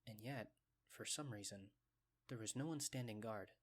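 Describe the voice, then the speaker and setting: A man reading scripted story narration, with a measured, descriptive storytelling delivery. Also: lowish voice.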